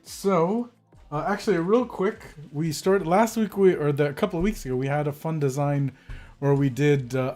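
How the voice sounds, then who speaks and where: A man's voice: on the high side, a little mellow. A man hosting a calligraphy live stream, chatting casually and greeting viewers by name, with a slightly congested voice from a cold. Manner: friendly, greets viewers by name, rambling with fillers.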